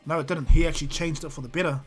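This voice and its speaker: A man voicing a laid-back, teasing adult male ninja mentor with a dry, low-key delivery. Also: fairly even intonation, very fast, slightly rough, soft background music. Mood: calm.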